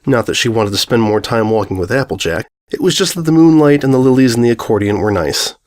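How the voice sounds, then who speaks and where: Male storyteller, mid-pitched voice, for scripted fiction narration. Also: animated, quick pace, a little mellow.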